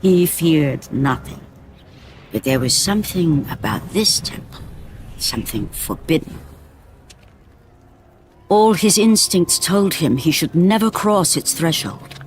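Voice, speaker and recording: An actress playing a grave, portentous storyteller, giving scripted dramatic narration for a video game. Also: female voice, sits low, expressive, slow pace, pauses often, slight background noise. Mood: foreboding. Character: grave, solemn omniscient storyteller of Greek tragedy.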